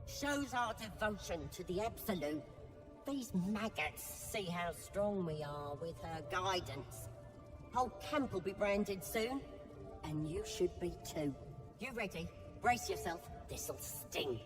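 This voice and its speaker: A woman's voice: on the low side, lively intonation, takes it slowly. An actress playing a fanatical, sly female goblin priestess in a video game, taunting and fervent. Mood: menacing zeal. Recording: long reverberant hall, music behind.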